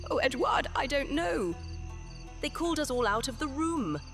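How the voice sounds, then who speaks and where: A woman playing a distraught, fearful court lady in a horror audio drama, halting and breathless. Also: sits high, big pitch swings, a bit bright, faint music behind, full character voice. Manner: breathless, halting with gasps and exclamations.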